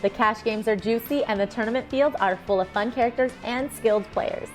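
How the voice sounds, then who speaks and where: A woman's voice: a little high, fairly quick, soft background music. A woman co-hosting a poker broadcast, giving sports-style commentary and analysis.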